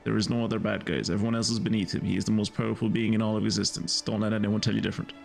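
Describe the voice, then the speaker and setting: Gravelly, irreverent male game streamer. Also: fairly low pitch, flat delivery, racing, a little mellow. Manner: irreverent, sarcastic. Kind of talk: joking live game commentary. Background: game music.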